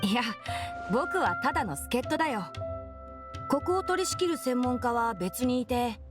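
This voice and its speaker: A woman voicing a calm, helpful young male scholar in an anime game, explaining things in a measured, wry way. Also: on the high side, lightly acted. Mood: composed, explanatory. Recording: music behind the voice.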